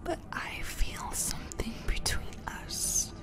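A woman voicing a teasing, possessively affectionate female sleep paralysis demon in an ASMR roleplay, with a breathy, playfully menacing whisper. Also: slow pace, close to an everyday voice. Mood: tender menace. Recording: music behind the voice.

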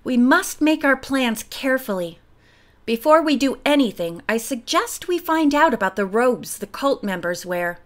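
A woman voicing Nancy, a bold and eager young woman detective, speaking briskly and decisively. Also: sits high, lively intonation. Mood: planning.

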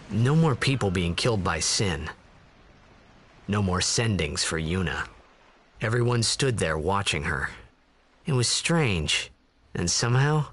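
A man's voice: sits low, fairly bright tone, slight background noise, exaggerated voice. An actor voicing an earnest, naive young male adventurer in a video game. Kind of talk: video game voice acting, wistful narration.

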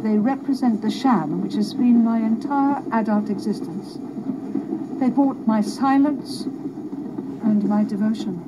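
An actress playing a bitter, regretful middle-aged mother in a radio drama, formal and deliberate, heard through a noisy radio. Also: a little high. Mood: bitter, remorseful.

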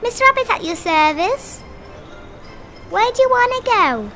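Woman playing a brisk, high-voiced lady rabbit guide. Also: big pitch swings, bright, forceful tone, faint music behind, exaggerated voice. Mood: bright, welcoming. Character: friendly, brisk female rabbit tour guide and taxi driver. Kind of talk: animated children's storybook read-aloud.

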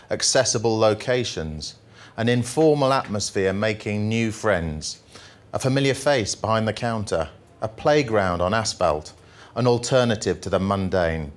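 A middle-aged man reading poetry aloud to a room with deliberate, mock-solemn phrasing, speaking into a handheld microphone. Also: fairly low pitch. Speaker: journalist reading poems at a workshop. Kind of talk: live poetry reading with casual asides to an audience. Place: indoor presentation room with projection screen.

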